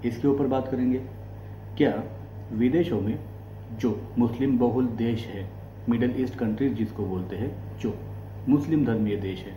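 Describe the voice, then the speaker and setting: Echoey, mellow Indian male commentator. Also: medium pitch. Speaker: host of a commentary channel. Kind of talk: explanatory political commentary to camera. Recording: echoey room, faint background noise and hum.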